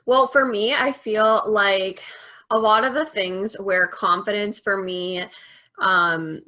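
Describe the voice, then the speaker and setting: A woman's voice: medium pitch, steady tone, slow, sharp tone. A young woman guest in a casual interview, speaking thoughtfully and saying "like" often. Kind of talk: casual reflective chat.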